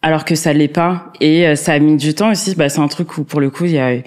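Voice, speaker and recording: A woman's voice: low voice, steady tone, quick pace, a little mellow. A young woman in casual conversation, talking with many fillers and restarts. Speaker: co-host. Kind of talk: casual chat.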